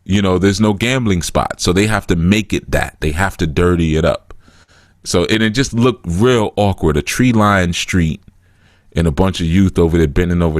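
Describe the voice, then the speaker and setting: A man's voice: deep voice, dry recording, clean studio sound. A man hosting a spiritual call-in show, talking bluntly and giving frank advice to callers. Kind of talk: casual call-in radio talk.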